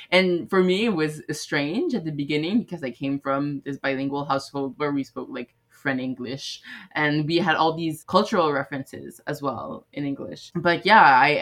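Young woman from Quebec, casual interview answers, loose and relaxed, very low voice. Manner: relaxed, loose phrasing with fillers.

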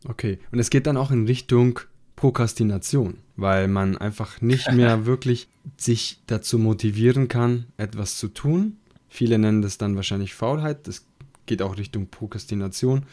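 A man's voice: on the low side, normal speed, warm tone. A man from Italy who speaks German with an Italian accent, a podcast host talking in an earnest, musing way. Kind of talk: conversational podcast talk.

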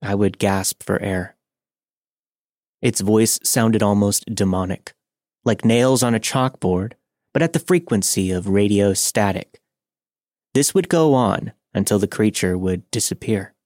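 A man's voice: fairly low pitch, measured pace, darkish tone, no room sound, clean studio sound. A man narrating a scripted first-person horror story for a podcast.

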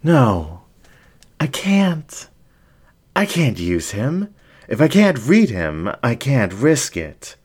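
A man's voice: mid-range voice, huge pitch swings, a little mellow, slightly rough, voice pushed up, full character voice. A man voicing a flamboyant, vain and seductive male fox ringmaster in a cooing, theatrical way, as in an audio story. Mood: flustered. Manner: cooing, drawn-out, theatrical delivery.

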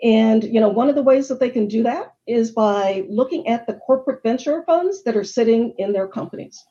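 Middle-aged woman, panel guest, higher mellow voice, earnest explainer, muffled call audio. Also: normal speed. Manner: earnest, explanatory, lists facts and figures. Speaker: panel guest on supplier diversity. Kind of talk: webinar panel discussion.

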